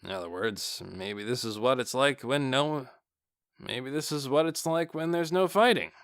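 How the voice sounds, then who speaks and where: A man's voice: mid-range voice, moderate pace, a bit bright, clean tone, no room sound, studio quality, close to an everyday voice. A man voicing an ordinary, chatty night-watch guard in an audiobook, griping in a colloquial way. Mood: musing. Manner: colloquial, laid-back griping. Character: casual, chatty male night-watch guards, uneasy but joking. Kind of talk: audiobook character dialogue.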